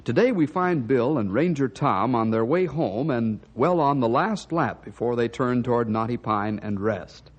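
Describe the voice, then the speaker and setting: A man's voice: mid-range voice, normal speed, few pauses, highs cut off, close to an everyday voice. A man narrating a wilderness adventure serial as an emphatic, declamatory announcer in 1950s radio style. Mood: dramatic, rousing.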